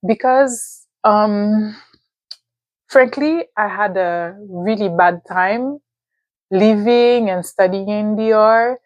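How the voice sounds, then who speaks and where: A young woman with a Haitian accent, a native speaker of Haitian Creole and French, giving a thoughtful advice talk to camera with pauses and fillers. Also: medium pitch, darkish tone, no echo, lo-fi sound. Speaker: vlogger.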